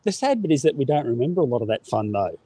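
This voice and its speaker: An easygoing Australian man talks sincerely as a guest and laughs along with the host. His voice is mellow, and his pitch moves around a lot. The recording is dry and close. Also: big pitch swings, fast talker, slightly rough, no room sound. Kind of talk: casual chat.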